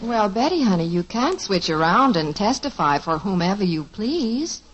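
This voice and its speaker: A woman's voice of middle pitch with a lively, rising and falling melody, patient and sensible as she calms her children. Heard through a dull, muffled old radio recording. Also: moderate pace. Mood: soothing. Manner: soothing, sensible. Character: calm, patient mother. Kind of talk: 1950s radio sitcom acting.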